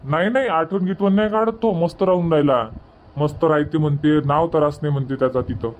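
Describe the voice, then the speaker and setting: A man's voice: a little high, brisk, lightly acted. A man voicing an easygoing old village grandfather in a cartoon, plain and rustic, with a Varhadi Marathi accent. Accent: Varhadi Marathi, Vidarbha. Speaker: cartoon character voice. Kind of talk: homely cartoon comedy dialogue.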